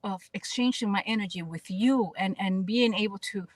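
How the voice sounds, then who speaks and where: A woman with a Dominican Spanish accent talks in a radio interview, her pitch sitting in an ordinary middle range. She speaks earnestly and thoughtfully, circling back over her words as she searches for the right phrasing. The sound is dry and close, with no room echo. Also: moderate pace. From Dominican Republic. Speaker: guest actress and spoken word artist.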